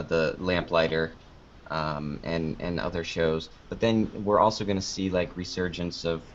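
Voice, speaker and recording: A man's voice: low voice, fairly bright tone, slight background noise. A man giving casual, rambling interview answers as a guest, informal and often interrupting himself mid-sentence. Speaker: interview guest, podcast creator. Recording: dull lo-fi online call audio.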